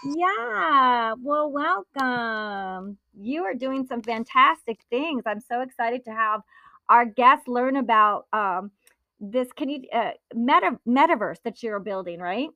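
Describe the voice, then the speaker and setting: Host, woman, bubbly and giggly, bright voice, dry close sound. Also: on the high side, expressive, slow, dry recording, rough recording. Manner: bubbly, laughs often, filler-heavy. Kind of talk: casual interview hosting. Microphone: remote call from home.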